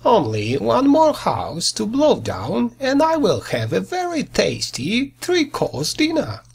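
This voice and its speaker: Guy doing a sly greedy big bad wolf for a storybook, super raspy, pitch all over the place, theatrical. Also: huge pitch swings, takes it slowly, full character voice. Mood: gloating. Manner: scheming, theatrical.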